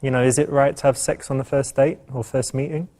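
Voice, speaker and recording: A man acts as host of an informal discussion. His voice sits at a medium pitch as he puts questions conversationally. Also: level tone, a little fast, mellow. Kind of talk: casual discussion, asking questions.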